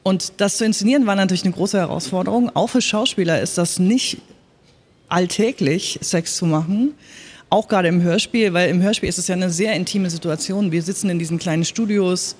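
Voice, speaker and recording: A woman's voice: fairly low pitch, a little fast, darkish tone, slightly rough. A woman speaking in a panel talk as a radio drama director, explaining her work in an earnest, emphatic way.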